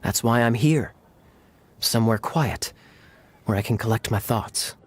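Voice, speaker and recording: A man playing a suave, sly knight captain in a video game, smooth and teasing with theatrical pauses. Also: medium pitch, close to an everyday voice. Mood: teasing, flattering. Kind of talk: scripted video game dialogue.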